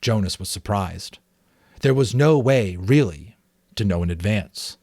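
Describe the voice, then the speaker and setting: A man reading a novel aloud as a dramatic audiobook, expressive, with offhand asides. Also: fairly low pitch, measured pace, no room sound, studio quality. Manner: expressive storytelling. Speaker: amateur audiobook reader.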